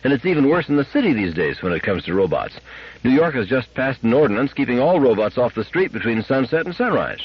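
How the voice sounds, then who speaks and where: Man voicing an insistent wife, lifted animated pitch. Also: quick pace, slightly rough, dry recording. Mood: insistent, worried. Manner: insistent, argumentative. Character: nagging, insistent, anxious wife and mother. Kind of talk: audiobook character acting. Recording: dull lo-fi radio-like audio.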